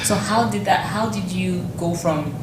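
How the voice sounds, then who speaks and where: A woman hosting a TV interview in a conversational way, rephrasing her questions as she goes and offering soft affirmations. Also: lowish voice, a little slow, background noise. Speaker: TV interview host.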